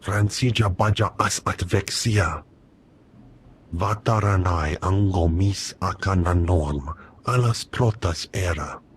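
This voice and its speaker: A man voicing a gruff alien contact in a video game, guttural and very husky. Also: low-pitched, slow pace, exaggerated voice. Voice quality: very husky, raspy. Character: gruff male alien contact speaking an invented tongue. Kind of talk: scripted video game dialogue.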